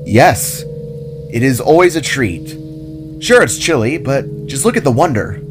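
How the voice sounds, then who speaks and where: A man voicing a cheery, positive orb-headed being in a story, with a reassuring manner and quiet music behind. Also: medium pitch, big pitch swings, a little slow.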